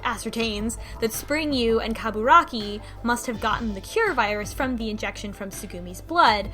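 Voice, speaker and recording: A woman's voice: a little high, lively intonation, normal speed, sharp tone, faint music behind. A woman co-hosting a podcast, casually recapping a story in a conversational way with wry asides. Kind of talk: casual plot recap discussion.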